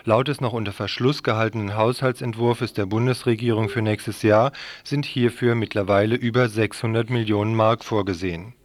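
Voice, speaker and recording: A male news reader narrates a scripted report. His pitch is somewhat low. The recording is dry and free of room sound. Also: steady tone, moderate pace, few pauses, no echo.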